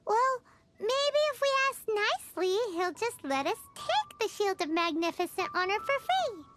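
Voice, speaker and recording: A woman's voice: very high-pitched, very animated, normal speed, noisy background. A woman voicing a tiny, childlike floating fairy companion in a video game, blunt and cutesy, with exaggerated delivery. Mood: upbeat.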